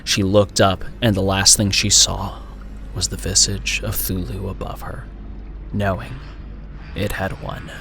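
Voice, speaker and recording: A man narrating a horror story in a dramatic, deliberate and suspenseful way, sometimes hushed. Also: deep voice, level tone, creaky, a little hiss or hum. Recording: music behind the voice. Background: music.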